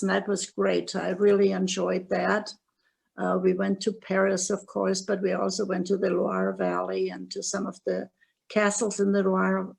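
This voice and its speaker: An older woman with a German accent, an author telling her wartime memories in an interview, speaking haltingly with many pauses. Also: mid-range voice, vocal fry, darkish tone, rough recording. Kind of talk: interview, recounting memoir.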